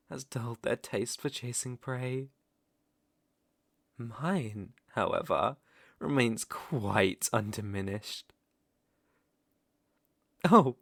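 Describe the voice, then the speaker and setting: A man's voice: medium pitch, no room sound, studio quality. A man with a British accent playing a centuries-old aristocratic vampire lord in an ASMR roleplay, suave, predatory and teasing, with formal, theatrical phrasing. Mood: wistful, menacing. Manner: silky, theatrical, teasing and formal with lingering pauses.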